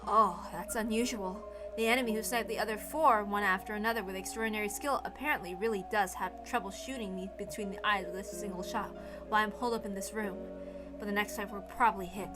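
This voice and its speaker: A woman voicing a resigned, dispassionate woman in a story reading, speaking in a measured, calm way. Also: medium pitch, over music, lightly acted. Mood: composed.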